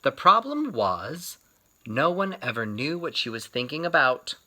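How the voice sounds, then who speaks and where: A man reading a children's book aloud in an expressive storytelling style, with theatrical, deliberate phrasing and dramatic pauses. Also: mid-range voice.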